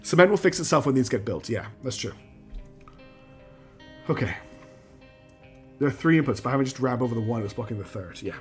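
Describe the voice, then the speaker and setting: Guy livestreaming a factory game, voice going a bit croaky, talks thru every step, low music playing under him. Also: expressive, a little fast, pauses often. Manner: talks himself through building steps, counts out loud, asks himself questions; answers chat messages as he plays. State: losing his voice, clearing his throat. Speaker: game streamer. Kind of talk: live gameplay commentary, thinking aloud.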